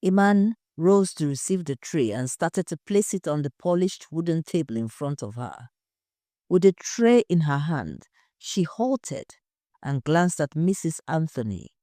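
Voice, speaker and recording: A woman's voice: low-pitched, sing-song, a little slow, dark tone, no echo, studio quality. A woman reading a scripted story as its narrator.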